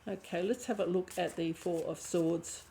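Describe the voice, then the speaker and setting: A woman with a croaky voice and a lingering cough giving an intuitive tarot reading, thinking aloud and often restarting her phrases. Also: on the low side, fairly even intonation, moderate pace, mellow, slight background noise. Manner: musing aloud, restarting phrases, clearing throat. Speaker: tarot reader.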